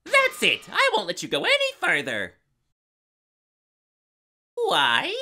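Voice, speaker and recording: A man's voice: high voice, wildly swooping pitch, slow pace, bright, forceful tone, exaggerated voice. A man voicing a gleefully sadistic, theatrical mascot bear with a mocking showman's manner in an anime fan dub. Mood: gleeful menace.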